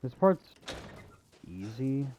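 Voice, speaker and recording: A man's voice: mid-range voice, big pitch swings, slow, dark tone, a little hiss or hum. A man giving casual, offhand commentary as he hosts a video game walkthrough. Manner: offhand, informal. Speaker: gaming video host.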